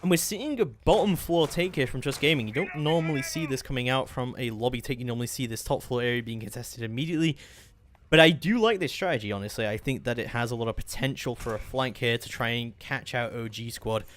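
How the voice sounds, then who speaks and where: A man's voice: mid-range voice, fast, a bit bright, a little hiss or hum. A man giving live play-by-play esports commentary in a flowing, detailed running description.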